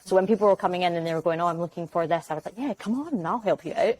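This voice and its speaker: A woman's voice: fairly low pitch, very fast, a little mellow, a little hiss or hum, rough recording. A young woman from Glasgow with a Glaswegian accent, chatting as a guest on a video-call interview and acting out little quoted exchanges. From Glasgow, Scotland. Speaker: guest, sales development rep.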